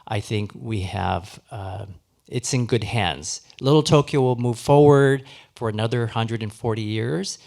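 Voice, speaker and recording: A middle-aged or older man giving semi-formal closing remarks at a live event in an earnest, halting way. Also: medium pitch. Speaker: historical society president giving closing remarks. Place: live awards ceremony before an audience.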